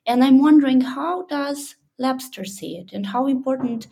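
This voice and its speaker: A woman hosting a podcast, introducing her guest warmly and asking questions in a friendly, effusive way. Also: sits high, moderate pace, gravelly, breathy edge. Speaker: podcast host. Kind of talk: interview hosting.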